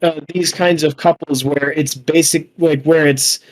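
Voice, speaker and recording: A man's voice: on the high side, steady tone, unhurried, low-quality recording. A young adult man hosting a casual podcast, rambling along in loose, profane talk. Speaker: podcast host.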